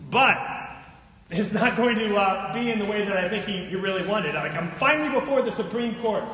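High-pitched, bright-voiced man preaching in a hall. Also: fairly quick. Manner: informal, colloquial, explanatory asides. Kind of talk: sermon, conversational storytelling and teaching. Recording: echoey hall, distant mic, faint noise.

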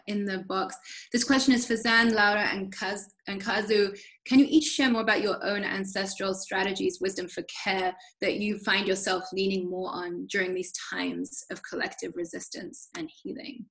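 A woman's voice: medium pitch, level tone, normal speed, a bit bright, low-quality recording. A woman moderating a panel discussion in a chatty way, often interrupting her own sentences.

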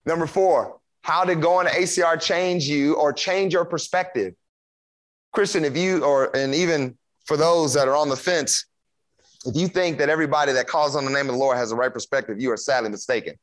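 A man's voice: on the high side, a little fast. A man leading a church service, reading out questions and exhorting the congregation in an earnest way.

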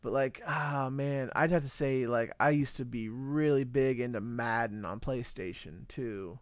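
A man's voice: mid-range voice, unhurried, clean tone, no room sound. A man in his thirties chatting casually with a friend, slangy and laid-back, laughing often. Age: 30s. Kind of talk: casual chat.

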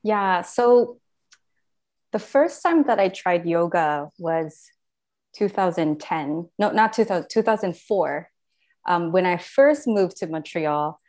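A woman's voice: lowish voice, expressive, slow pace, darkish tone. A woman in her thirties from Japan, Japanese by first language, with a slight Japanese accent, telling her story in a casual interview, rambling with many fillers and self-corrections. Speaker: guest.

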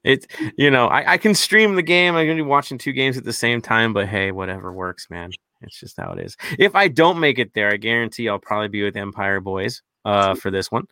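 Upbeat man, crisp bright dry voice. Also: lowish voice, no echo. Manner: upbeat, chummy, emphatic. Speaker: podcast co-host. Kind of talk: casual podcast chat.

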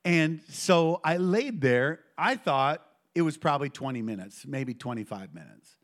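A middle-aged man preaching to a congregation in a church, telling a personal story with lively call-and-response. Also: on the high side, a little slow. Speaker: pastor.

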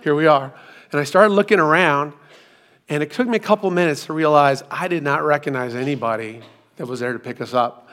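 A hoarse middle-aged man giving a sermon, telling a personal story with self-deprecating asides, speaking into a hands-free microphone. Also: fairly high pitch, a little fast, fairly bright tone. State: hoarse, recovering from lost voice. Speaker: pastor.

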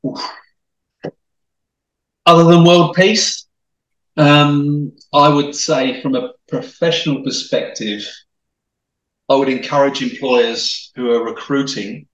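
This is a middle-aged man with a mixed, hard-to-place accent who grew up speaking Italian. He talks in a loose, rambling way, restarting his phrases. His voice sits in a middle register, heard in a roomy space from some distance off the microphone. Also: mid-range voice. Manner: chatty, many restarts and repeated words. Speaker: guest. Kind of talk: casual interview chat. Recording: echoey, from off the mic.

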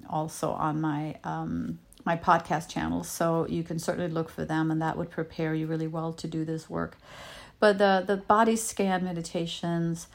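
A woman whose first language is Danish, giving a gentle, unhurried talk as a healing teacher, often repeating words as she searches for them. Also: on the low side. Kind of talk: reflective spoken talk.

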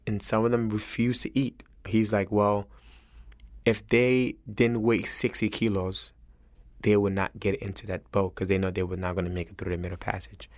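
A man from Senegal with a West African accent speaks earnestly and reflectively in an interview, halting as he searches for his words. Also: fairly low pitch, little pitch movement, dry recording, clean studio sound. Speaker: chef interviewed as guest.

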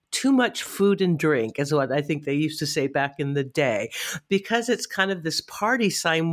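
A woman co-hosting an astrology podcast in casual chat, a bit stuffy from a head cold, upbeat and quick to laugh. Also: sits low. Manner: chatty, upbeat, laughs often. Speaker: podcast co-host.

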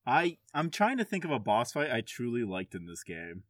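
A man's voice: medium pitch, wildly swooping pitch, normal speed, a little hiss or hum. A man on a podcast panel, chatting informally and anecdotally about a video game.

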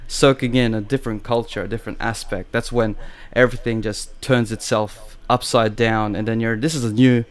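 A man's voice: on the low side, fairly even intonation, moderate pace, few pauses. A man hosting a podcast, joking and rambling in casual chat. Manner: joking, rambling, laughs often. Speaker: podcast host.

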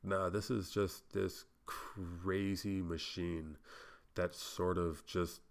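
Low gravelly-voiced man rambling. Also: little pitch movement, takes it slowly. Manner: rambling, swears casually, self-interrupting. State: congested. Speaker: podcast host. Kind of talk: casual solo podcast monologue.

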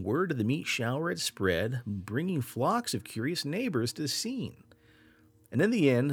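A man hosting a podcast, telling strange stories in a casual, animated way and laughing often. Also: medium pitch, slightly rough. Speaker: podcast host. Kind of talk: casual storytelling podcast chat.

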